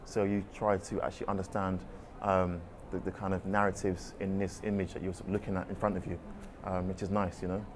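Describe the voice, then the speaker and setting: Fast-talking deep-voiced man. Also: little pitch movement, background noise. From London, England. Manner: rambling, hedging with "sort of" and "kind of". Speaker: artist. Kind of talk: casual interview answers. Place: art exhibition space.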